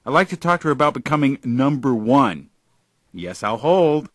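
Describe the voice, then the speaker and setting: An actor playing a pushy, sardonic boss of a struggling internet radio company in an audio drama. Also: male voice, mid-range voice, big pitch swings, normal speed. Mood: businesslike.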